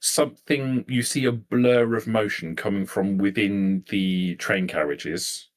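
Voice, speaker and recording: A man acting as game master, narrating a tabletop game in a halting, improvised way and repeating phrases as he thinks. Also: fairly low pitch, steady tone.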